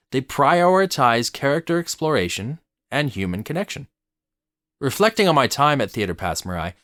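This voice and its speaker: A man narrates a formal, scripted essay in a close, dry recording. His pitch moves freely up and down as he goes. The phrasing is articulate and measured, in the manner of a theatre commentator. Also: fairly bright tone, dry recording.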